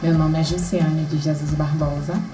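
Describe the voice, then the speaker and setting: A woman in her late thirties from Salvador, a candomblé seamstress, telling her story with warmth and animation in a documentary interview. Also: deep voice, flat delivery, moderate pace. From Salvador, Brazil. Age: 30s. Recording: roomy sound with music underneath.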